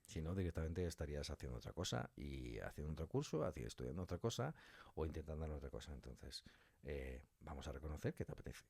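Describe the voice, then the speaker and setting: A middle-aged man from the world of audio fiction speaks with a Spanish accent from Spain in a low voice. He answers conversationally, reflecting aloud and building examples as he goes. The sound is dry, with very little room in it. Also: very animated, fast, gravelly, darkish tone, breathy edge, no echo. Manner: reflective, thinks aloud with examples and imagined quotes. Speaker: interviewed audio fiction writer and director.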